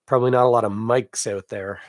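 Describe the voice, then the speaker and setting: A hoarse, raspy man in middle age hosts his podcast, rambling and poking fun at himself as he chats to viewers watching live. His voice sits mid-range, and the close mic gives a dry, echo-free sound. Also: mid-range voice, fairly even intonation, fairly quick. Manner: rambling, self-deprecating, chatting to live viewers. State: hoarse from a cold. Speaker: podcast host. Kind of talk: casual solo podcast chat.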